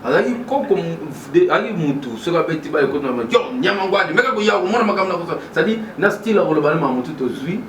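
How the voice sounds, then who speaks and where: Halting man, far and echoey. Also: on the high side, expressive, a bit bright. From DR Congo. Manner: halting, mixes French and Lingala. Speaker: guest. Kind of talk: casual interview talk. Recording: echoey room, far mic, noisy.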